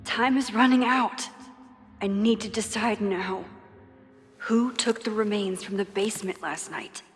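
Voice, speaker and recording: An earnest young woman played by a game actress, determined and inward-looking as she works out a mystery. Her medium-pitched voice carries a long reverb, with music underneath. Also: unhurried, lightly acted. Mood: brooding, resolved. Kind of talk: video game voice acting.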